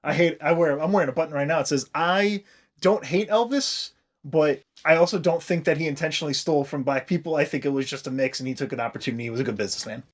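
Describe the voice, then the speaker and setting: Man, American accent, slightly high voice, podcast co-host, casual explainer, sweary, animated. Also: fast talker. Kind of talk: casual comedic history explainer chat.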